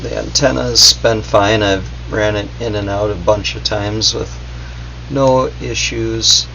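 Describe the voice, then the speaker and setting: A man's voice: fairly low pitch, fairly even intonation, takes it slowly, creaky, bright, forceful tone. A man giving a casual, unscripted gadget review in a hesitant, meandering, noncommittal way. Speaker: product reviewer. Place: indoors in a basement, at a workbench. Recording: dull audio, faint background hum.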